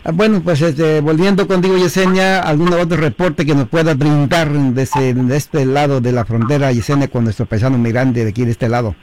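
A man's voice: fairly high pitch, steady tone, moderate pace, hardly any pauses, darkish tone, low-quality recording. A man hosting a bilingual community radio program, sending long strings of greetings to towns and listeners. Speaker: radio host.

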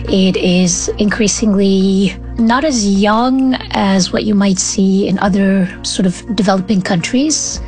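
A woman from Morocco, speaking as an expert guest and explaining policy in a measured, analytical way with frequent pauses. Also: medium pitch, a little mellow, faint music behind. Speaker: expert guest, think tank senior fellow.

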